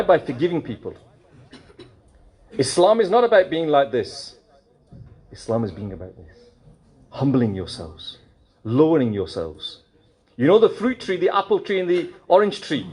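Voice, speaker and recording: Animated preacher, husky voice. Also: male voice, a little high. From United Kingdom. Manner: animated, mixes in Urdu and Punjabi phrases, rhetorical questions. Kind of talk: mosque sermon. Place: mosque.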